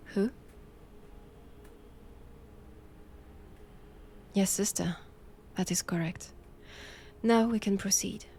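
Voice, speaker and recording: A woman's voice: mid-range voice, mellow, slight background noise, natural voice. A woman with a French accent playing a stern, righteous vampire-hunting nun in a roleplay audio drama, speaking in a formal, commanding manner. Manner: slow, deliberate, formal, commanding.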